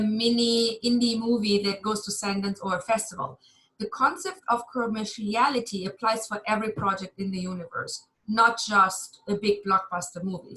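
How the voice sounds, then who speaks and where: A woman's voice: on the high side, a bit bright, poor audio. A German woman lecturing a university class over a video call, chatty and candid, with some self-deprecating humor.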